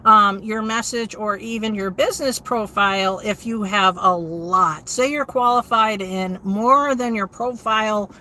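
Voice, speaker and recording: A woman, hosting a casual livestream and reading viewer comments in a steady, low-inflection way with frequent ums. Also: measured pace, few pauses, slight background noise. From New England. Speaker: livestream host.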